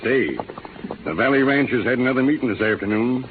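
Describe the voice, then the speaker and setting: Emphatic frontier judge, male actor, folksy and animated, old radio western with clear noise. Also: medium pitch, fairly quick, natural voice. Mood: indignant, insistent. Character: folksy frontier judge, hearty, indignant cattleman. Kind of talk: 1930s radio acting. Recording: noisy narrow-band 1930s radio recording.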